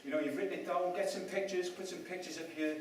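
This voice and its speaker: A man raised in Wales gives a chatty, self-mocking talk to an audience, often tossing questions at them. His voice sits a little high. It is heard from a distance in a strongly echoing room with faint noise behind. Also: fairly even intonation, fast talker. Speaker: speaker at a property event. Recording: echoey room, mic at a distance.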